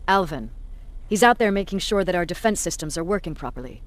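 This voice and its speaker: An actress playing a cynical, blunt resistance commander in a video game, with a measured, sardonic delivery. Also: female voice, lowish voice, lively intonation, lightly acted. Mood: brisk, commanding. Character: hard, cynical female resistance military commander, self-declared bully.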